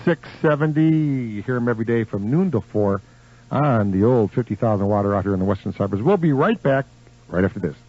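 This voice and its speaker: A man's voice: mid-range voice, lively intonation, brisk. A man hosting a casual radio interview in an effusive, interjecting way. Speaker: radio host. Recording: slightly dull radio recording.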